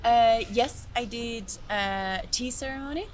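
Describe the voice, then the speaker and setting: A woman's voice: on the high side, unhurried. A woman from the Netherlands, a tourist answering street interview questions in a friendly, chatty way, laughing often. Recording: crowd noise behind.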